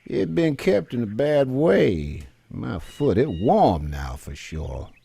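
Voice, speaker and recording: Gravelly old fisherman. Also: medium pitch, big pitch swings, takes it slowly, mellow, no echo, full character voice. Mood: wily, reluctant. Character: folksy old swamp fisherman named Champagne. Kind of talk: video game character acting.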